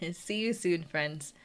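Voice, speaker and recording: Female video creator, casual sign-off, slightly low pitch, unhurried delivery. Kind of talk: casual outro to viewers.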